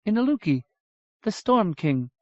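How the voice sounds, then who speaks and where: A man voicing a sorrowful, ageless immortal elf woman, speaking in a grave, lyrical, measured way. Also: sits high, big pitch swings, dry recording, pitched above the performer's own voice. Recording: dull lo-fi audiobook recording.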